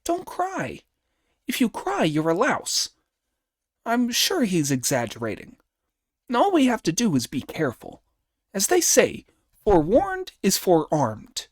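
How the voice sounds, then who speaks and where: A man performs an excitable, boastful monkey hero from a storybook. The voice sits high and leaps about in pitch. It is cocky and exclamatory, recorded dry and close. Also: a little slow, pauses often, dry recording, heavily acted. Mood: brisk, reassuring. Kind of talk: storybook character dialogue.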